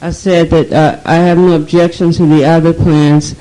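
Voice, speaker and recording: A woman answering hesitantly and slowly at a meeting, with many fillers, on an old archival tape. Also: deep voice, little pitch movement, mellow, poor audio.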